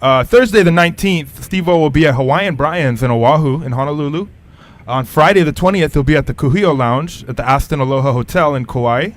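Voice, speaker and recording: A man's voice: fairly high pitch, fairly quick. A man hosting a casual radio interview, asking questions and reading out announcements in a studio.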